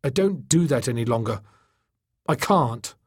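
A man's voice: medium pitch, lively intonation, a little slow, husky, no room sound, studio quality. Guy doing a broken down doctor, weary n self-pitying, weak despairing delivery, audiobook. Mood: anguished, self-pitying. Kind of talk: audiobook character acting.

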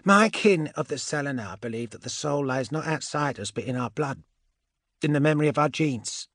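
Man voicing a cool, high-pitched mocking witch woman. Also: animated, normal speed, a bit bright, no echo, clean studio sound. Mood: solemn, reflective. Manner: wry, portentous, sure of herself.

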